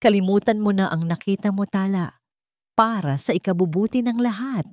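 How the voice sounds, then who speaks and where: A woman's voice: mid-range voice, normal speed, a little mellow, no room sound, close to an everyday voice. Old woman cook, played by a woman storyteller, kindly, fearful, cautioning, resigned, dry recording. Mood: resigned warning. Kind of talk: dramatic storytelling.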